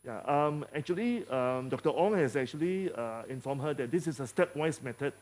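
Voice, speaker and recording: A male surgeon with a Malaysian English accent talks through a live procedure. His voice sits a little high. He speaks haltingly, with frequent fillers and small jokes. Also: expressive, darkish tone. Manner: halting, many fillers, jokey asides. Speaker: surgeon leading a live demonstration. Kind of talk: clinical case presentation.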